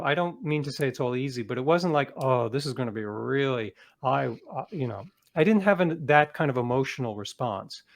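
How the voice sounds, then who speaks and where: A man speaking as an interview guest and author, telling personal anecdotes in a self-assured, reflective, conversational way. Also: a little high.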